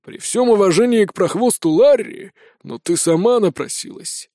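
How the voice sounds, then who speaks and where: A man voicing a grim male bandit henchman with a hoarse, wheezy voice in a fantasy audiobook. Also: high-pitched, expressive, normal speed, dark tone, no echo, clean studio sound.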